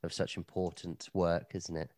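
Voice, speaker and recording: A man's voice: sits low, level tone, normal speed, crowd noise. A man, co-hosting a podcast in casual chat, dry and joking, a bit hungover. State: slightly hungover. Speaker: podcast co-host.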